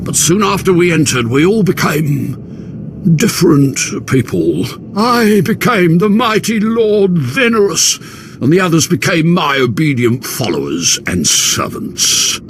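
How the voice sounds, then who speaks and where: An actor playing a slave who poses as a grandiose Sith lord in a video game, with a theatrical, halting delivery. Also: male voice, fairly high pitch, lively intonation, slight background noise. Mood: bewildered. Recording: game music behind the voice. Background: music.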